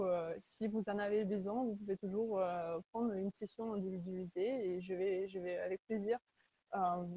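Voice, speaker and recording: Husky-voiced woman presenting a webinar. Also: medium pitch, warm tone, dry recording, lo-fi sound. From Kazakhstan. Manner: earnest, conversational, searching for words. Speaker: webinar host, Salesforce consultant.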